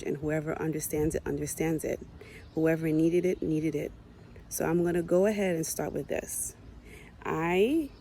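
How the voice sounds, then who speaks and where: A woman's voice: sits low, warm tone, a little hiss or hum. A woman whose first language is Haitian Creole, speaking into her phone with an earnest, impassioned message that builds emphasis as she repeats key points. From United States. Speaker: mother addressing her community. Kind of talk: earnest personal message to camera.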